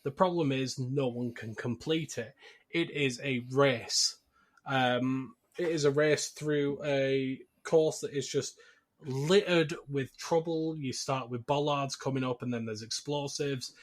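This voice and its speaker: A man's voice: mid-range voice, takes it slowly. A man hosting a podcast, explaining a film's plot in a casual, conversational way. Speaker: podcast host.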